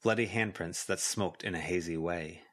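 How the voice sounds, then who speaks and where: A man's voice: deep voice, normal speed, fairly bright tone. A man reading scripted fiction as a volunteer audiobook narrator.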